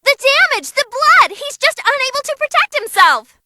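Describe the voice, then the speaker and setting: Super high, bright demon girl ring announcer, bloodthirsty n playful, voice swoops all over. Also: big pitch swings, normal speed, heavy background noise. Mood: wry commentary. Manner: gleeful, dramatic, playful. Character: perky bloodthirsty non-human female tournament fight announcer. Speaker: tournament fight announcer. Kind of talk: excited ringside fight commentary.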